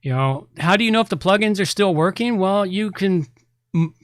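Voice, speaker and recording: A man's voice: high-pitched, animated, normal speed, fairly bright tone, no room sound, studio quality. A middle-aged man hosting a podcast, talking casually in a rambling, blunt, informal way. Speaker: podcast host and website consultant. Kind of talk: casual tech podcast talk.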